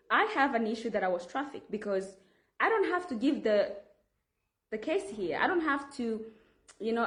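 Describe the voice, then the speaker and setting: A woman's voice: mid-range voice, reverberant. A woman from Rwanda with a Rwandan accent, making an earnest, insistent appeal straight to camera and often restarting her sentences. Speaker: vlogger making a public appeal.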